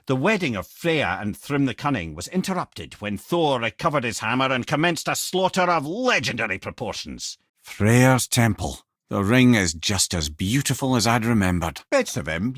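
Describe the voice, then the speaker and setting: A wry old Scottish sage from a video game. He speaks with a lively, swooping melody and a learned storyteller's sense of theatre. The sound is close and dry. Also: medium pitch, moderate pace, hardly any pauses, no echo. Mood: grave storytelling, then urgent, advising. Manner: theatrical, lilting, erudite storyteller with archaic turns.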